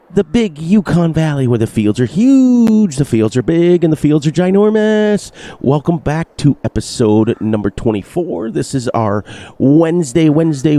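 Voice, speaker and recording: A man hosting a narrated farming game video, upbeat and excitable, with a slightly nasal voice from allergies. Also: a little high. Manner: upbeat, sing-song repetitions, excitable. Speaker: gaming channel host. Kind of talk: narrated gameplay commentary.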